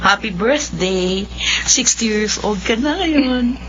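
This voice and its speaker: A practical, slightly impatient working daughter in a radio drama. Her voice is fairly high and very animated, on a dull recording with faint noise. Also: takes it slowly, faint music behind. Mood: casual, questioning. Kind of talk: Filipino radio drama acting.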